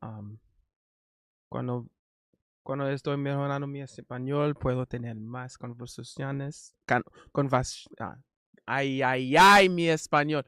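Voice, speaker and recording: A Haitian man speaking Spanish as a learner, chatting casually on a stream, halting and correcting himself often. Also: mid-range voice, a bit bright, clear, resonant tone, no room sound, clean studio sound. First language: not Spanish. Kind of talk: casual chat.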